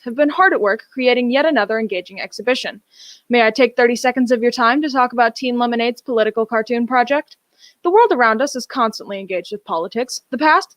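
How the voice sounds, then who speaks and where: A young woman announces an old-time style radio show from a script. Her voice sits on the high side and the sound is very dry, without room echo.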